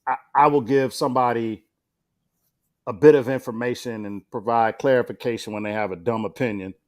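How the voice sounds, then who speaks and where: A man co-hosting a podcast, talking in a laid-back, slangy way with lots of pauses. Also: medium pitch, fairly even intonation. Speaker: podcast co-host. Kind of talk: casual podcast discussion.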